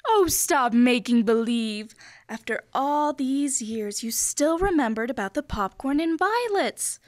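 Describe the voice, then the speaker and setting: A teenage girl playing a patient, skeptical sitcom wife in a measured, dry old-time radio comedy style. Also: sits high, animated, dry recording. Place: school library room, stage microphone.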